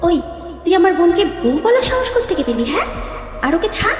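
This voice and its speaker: A woman's voice: very high voice, very animated, brisk. A woman playing an indignant, jealous young woman in an audio story drama, demanding and confrontational. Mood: outraged. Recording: echoey reverberant hall, music underneath.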